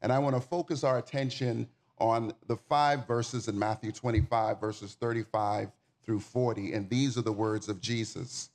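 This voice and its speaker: A man's voice: mid-range voice, steady tone, normal speed, hardly any pauses, darkish tone. A man in his forties from the Bahamas, giving a sermon as a guest preacher in an informal, folksy way, speaking directly to the congregation. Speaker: guest preacher and nonprofit community manager.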